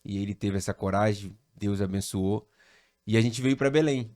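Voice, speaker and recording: A man's voice: fairly low pitch, moderate pace, dry recording, studio quality. Man from Rio telling a story. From Rio de Janeiro, Brazil. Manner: animated storyteller, relaxed slang. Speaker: pastor, podcast guest. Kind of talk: casual storytelling chat.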